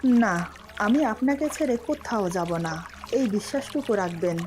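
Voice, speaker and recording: A woman voicing a shy, loving young newlywed wife in a romantic story, coy and gently chiding. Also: medium pitch, expressive, measured pace, noisy background, close to an everyday voice.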